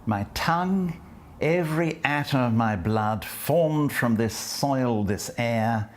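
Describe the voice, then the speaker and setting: A man who introduces a poem and then reads it aloud, in a measured, deliberate way, letting phrase ends fall and slipping into soft whispers at times. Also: medium pitch, expressive. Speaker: poetry reader and lecturer. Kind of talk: spoken introduction and poetry reading.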